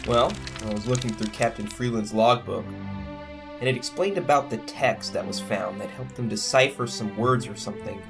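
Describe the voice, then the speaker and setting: A man's voice: medium pitch, normal speed, vocal fry, lightly acted. A man playing an adventurous, earnest young crewman in a science fiction audio drama, talking in a casual way. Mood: persuasive, earnest. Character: adventurous young spaceship crewman, earnest persuader with a haunted past. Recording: music playing under the dialogue.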